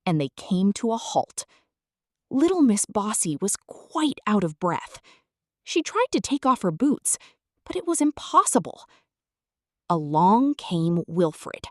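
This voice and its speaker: A woman reading a children's story aloud, expressive and sing-song. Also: mid-range voice, slow pace, no room sound, clean studio sound.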